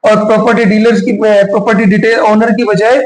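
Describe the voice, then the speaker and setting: High-pitched man, roomy sound. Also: little pitch movement, brisk. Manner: explanatory, switches into English terms. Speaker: tutorial host. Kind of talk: casual step-by-step screen tutorial. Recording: roomy, echoing voice recording.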